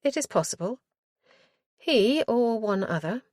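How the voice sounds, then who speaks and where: Woman as a poised noblewoman, soft, smiling, secretive, lightly higher. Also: lively intonation, measured pace, no room sound, studio quality. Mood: teasing.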